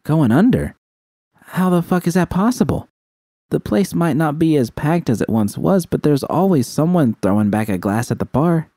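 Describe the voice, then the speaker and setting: A man's voice: fairly high pitch, expressive, moderate pace, warm tone, voice pushed up. A man voicing a defiant, blunt young woman who swears in disbelief, in audiobook dialogue. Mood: incredulous. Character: Judy, alarmed, defiant young woman techie. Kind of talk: first-person audiobook character dialogue.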